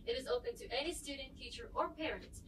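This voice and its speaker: A teenage girl reading school announcements in a brisk way. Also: high voice, recorded from a distance, noisy background. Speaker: student announcer.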